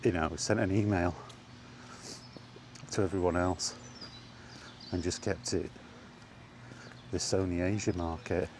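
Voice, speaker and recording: A man's voice: low-pitched, fairly even intonation, measured pace, pauses often, a little mellow, a little hiss or hum. A man vlogging casually about gaming in a rambling, plain-spoken way while out walking, recorded on a small wireless mic.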